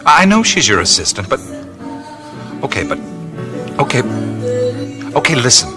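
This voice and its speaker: An actor playing a seasoned, wry jungle adventurer in an audio drama, musing and halting with dry humor. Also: male voice, medium pitch, wildly swooping pitch, loud music behind the voice. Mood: urgent warning.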